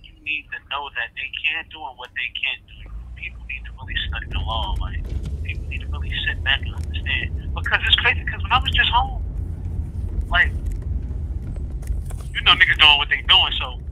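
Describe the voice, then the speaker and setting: A man with a New Jersey accent, talking in animated, emphatic street slang on a phone call. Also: fairly high pitch, fairly quick, slight background noise. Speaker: guest. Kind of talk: casual phone conversation.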